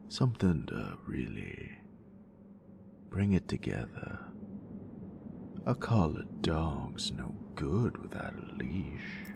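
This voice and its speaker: Very deep, gravelly cocky bully boyfriend, acted by a man. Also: wildly swooping pitch, slow, pauses often, exaggerated voice. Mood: low, possessive. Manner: teasing, slangy, murmuring, often dropping toward a whisper. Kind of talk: intimate romantic audio roleplay monologue. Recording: noticeable background noise.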